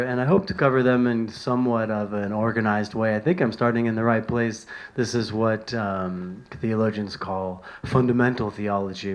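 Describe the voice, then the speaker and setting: A man from San Francisco giving a self-recorded talk from notes, earnest and halting, with many ums and uhs. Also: on the low side. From San Francisco, California. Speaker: video lecturer on spiritual writings. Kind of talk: casual talk from bullet notes.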